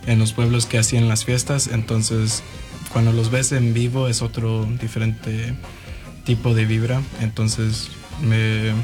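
Young man, hesitant, soft-spoken, monotone, clear background music. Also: fairly low pitch, measured pace. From Madison, Wisconsin. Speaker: band member guest.

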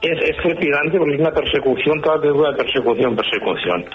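A man from Spain with a Spanish accent, calling a radio show on a dull phone line and chatting casually, haltingly, often repeating words. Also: fairly high pitch. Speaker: phone-in caller. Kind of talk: casual film chat.

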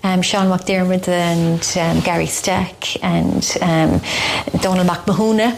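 A woman's voice: on the low side, fairly even intonation, takes it slowly, vocal fry, darkish tone, a little hiss or hum. An Irish woman, a teacher and singer, chatting as a guest in a radio interview, rambling and laughing easily. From Ireland.

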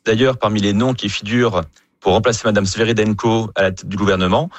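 Low-voiced Frenchman giving expert analysis. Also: steady tone, fast, no room sound. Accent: French from France. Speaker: geopolitics professor, expert guest. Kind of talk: analytical interview answers.

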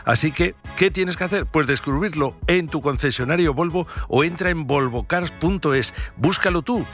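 A man's voice: fairly high pitch, moderate pace, a bit bright. A man with a Spanish accent from Spain doing a chatty radio sponsor read, persuasive and talking straight to the listener. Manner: conversational, persuasive, addressing the listener directly. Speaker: radio advertisement reader. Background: music.

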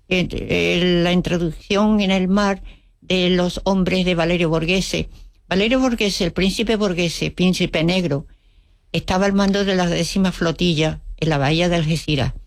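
An older woman with a low voice tells her story as an interview guest, her Spanish carrying an Andalusian accent over a Russian mother tongue. She acts out quoted dialogue and slips in wry jokes. The recording is dry and close. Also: gravelly, dry recording. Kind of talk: storytelling reminiscence.

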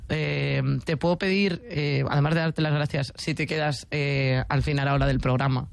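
A woman's voice: low-pitched, steady tone, moderate pace, vocal fry. A woman with an accent from Spain hosting a casual, informal interview, feeling her way through her phrasing. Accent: Spanish from Spain. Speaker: talk show host.

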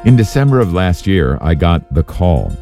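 A man hosting a faith radio talk show, his voice hoarse and raspy after recent surgery, telling a personal story in an earnest, measured way. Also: low voice, very animated, music in the background. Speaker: host.

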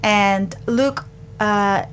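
A woman's voice: medium pitch, slight background noise. Chatty Japanese-accented woman radio host. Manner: chatty, laughs often, switches to rapid Japanese. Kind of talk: community radio show hosting and chat. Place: radio studio.

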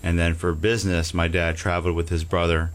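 Male guest, very low pitch, halting conversational testimony. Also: flat delivery. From Houston, Texas. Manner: halting, with fillers and restarts. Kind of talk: casual testimony interview.

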